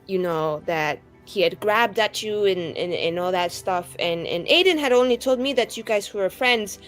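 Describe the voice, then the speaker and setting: A woman playing a tabletop roleplaying game, speaking in a halting, rambling, improvised in-character style with casual asides. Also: mid-range voice, faint music behind.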